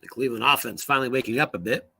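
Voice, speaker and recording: A man calling solo play-by-play for a tabletop football game, brisk and rising on big plays, losing his voice and coughing now and then. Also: medium pitch, vocal fry, bright, forceful tone, slightly rough.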